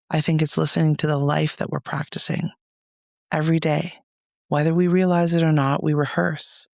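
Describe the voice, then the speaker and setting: A man leading a guided meditation as a reflective monologue, speaking in a soothing, measured, gentle way with pauses. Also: a little high, vocal fry, no room sound, studio quality.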